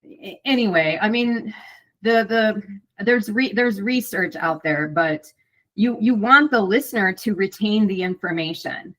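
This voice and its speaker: A woman's voice: medium pitch, a little slow, a bit bright, low-quality recording. A middle-aged woman, an audiobook narrator and voice actor, chatting casually in an interview, talkative with many fillers and restarts.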